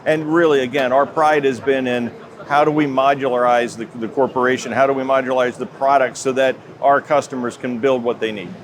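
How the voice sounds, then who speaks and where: Middle-aged american guy, company exec being interviewed at an expo, clear noise around him. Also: mid-range voice, fairly even intonation, fairly quick. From United States. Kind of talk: trade show interview. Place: trade show floor. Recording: noisy show-floor ambience.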